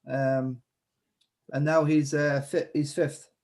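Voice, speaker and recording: A man's voice: a little high, flat delivery, slow pace, a little mellow. A man hosting a casual fantasy football chat, rambling with many fillers and restarts. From Ireland. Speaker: podcast host.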